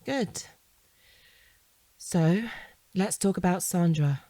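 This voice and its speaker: An actress playing a composed, probing woman in a naturalistic audio drama, measured, pointed and persistent. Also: on the low side, warm tone, no room sound. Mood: businesslike. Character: composed, probing woman questioning an evasive man.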